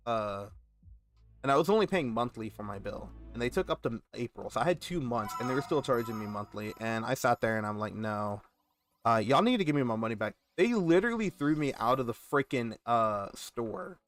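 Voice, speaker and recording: A man in his twenties from Texas, speaking in loose, rambling casual chat. He sounds animated and aggrieved as he tells a story, with a voice of ordinary pitch. Soft music plays faintly behind him. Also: moderate pace. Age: 20s. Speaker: gaming channel host.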